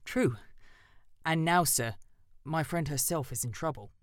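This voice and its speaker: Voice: mid-range voice, measured pace, no room sound, clean studio sound, close to an everyday voice. A narrator voicing an eager, polite male crow who speaks courteously and pleadingly.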